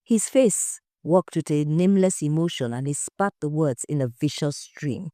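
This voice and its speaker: A woman narrating a scripted story in a measured, dramatic way. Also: deep voice, dark tone, dry recording, studio quality. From Nigeria.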